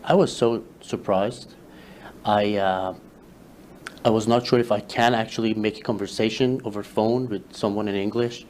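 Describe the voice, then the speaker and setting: Man, Farsi native speaker, lowish pitch, hesitant, lots of fillers, faint background noise. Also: steady tone, darkish tone. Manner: halting, with many fillers and restarts. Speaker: interview guest, social media creator. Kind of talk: casual interview talk.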